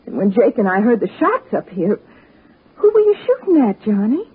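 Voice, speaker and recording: A woman's voice: medium pitch, sing-song, normal speed, slightly rough. An actress playing a tough, plain-spoken young ranch woman with a Western American accent in a 1960s radio drama. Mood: flustered, earnest. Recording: muffled narrow-band old radio recording.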